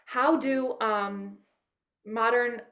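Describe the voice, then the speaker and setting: A woman's voice: fairly high pitch, fairly even intonation, drawn-out words, fairly bright tone. A woman from Manhattan, a guest in an interview, telling her story in an eager, rambling way with lots of fillers and restarts. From Manhattan, New York. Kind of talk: casual personal storytelling. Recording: roomy echo.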